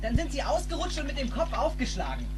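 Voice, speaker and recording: A man's voice: very high-pitched, wildly swooping pitch, fast, background noise, heavily acted. A man playing an earnest teenage boy from the future in an audio drama, urgent and pleading. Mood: insistent. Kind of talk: audio drama film dialogue.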